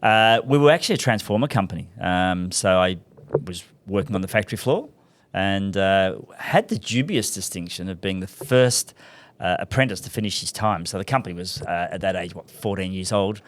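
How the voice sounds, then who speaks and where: A man talks easily about his long working life, often laughing at himself. His voice sits on the low side. He comes across as relaxed and good-humoured as a podcast guest. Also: moderate pace. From Scotland. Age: 60s. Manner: chatty, self-deprecating, laughs often. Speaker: guest, commercial director. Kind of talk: casual podcast interview.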